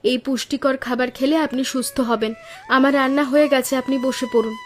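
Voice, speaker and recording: A kind village wife from a cartoon folk tale speaks in a clearly high voice, performed by a woman. She is caring, practical and reassuring. Music plays underneath her. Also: fairly even intonation, fast, close to an everyday voice. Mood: respectful, caring. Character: kind, resourceful village woodcutter's wife, young mother. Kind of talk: Bengali cartoon story voice acting.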